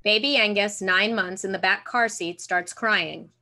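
A woman's voice: medium pitch, unhurried, bright, forceful tone. Young female narrator, mid-range voice, reading scripted action lines from home. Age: young adult. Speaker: stage directions reader. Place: room at home, video call.

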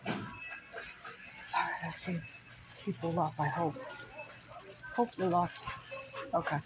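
A woman's voice: lowish voice, fairly even intonation, slow, poor audio. A woman giving a casual, off-the-cuff shopping vlog narration in short, fragmentary remarks as she browses a store on her phone. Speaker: toy shopping vlogger. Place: large retail store aisle, indoors. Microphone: handheld phone, held by the speaker behind the camera. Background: store music.

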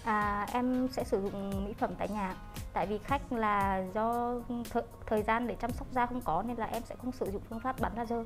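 A woman's voice: a little high, fairly even intonation, moderate pace. A young woman, a skincare student, answering her teacher hesitantly and respectfully in a spa treatment room. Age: young adult. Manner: hesitant, deferential. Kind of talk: answering a teacher's questions in a practical demonstration. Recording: faint music behind treatment-room speech.

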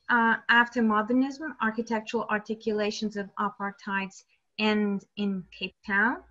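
A woman's voice: a little high, highs cut off. A woman hosting an online lecture, reading out a speaker introduction with hesitant fillers. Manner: read aloud with hesitant fillers. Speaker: event host. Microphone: video call.

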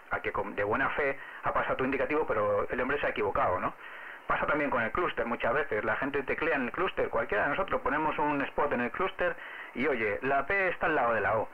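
A man with a Spanish accent from Spain talks as an amateur radio operator in casual, explanatory conversation. His pitch is average and his delivery is quick. The sound is narrow and phone-like. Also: a little hiss or hum.

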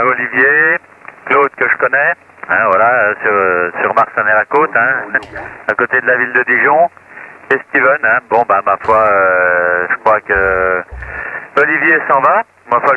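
A man's voice: mid-range voice, moderate pace. A man making casual contact as a radio operator, heard over the air. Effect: received over radio. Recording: phone-like CB radio signal, faint static.

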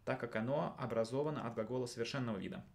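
A man in his thirties talks in a studio at a middling pitch. He thinks aloud in a casual, colloquial way, often questioning as he goes, like a teacher working through a task. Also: racing, vocal fry, a little mellow. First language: Russian. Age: 30s. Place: small indoor studio.

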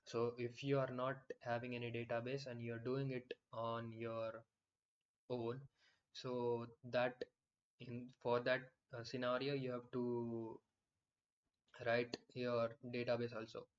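A man explaining software in a screen-recorded tutorial, with frequent fillers and restarts. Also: mid-range voice, completely flat delivery, takes it slowly, muffled recording.

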